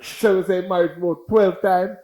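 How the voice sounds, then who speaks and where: A man's voice: high voice, steady tone, moderate pace, mellow. Playful male host. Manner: playful, joking, mixes in patois. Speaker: interview host. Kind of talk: casual interview chat.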